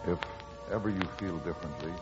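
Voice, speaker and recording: An actor playing an earnest, lovelorn navy skipper in old-time radio drama, deliberate and halting. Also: male voice, deep voice, music in the background, lightly acted. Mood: hurt, restrained. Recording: muffled, noisy old radio recording.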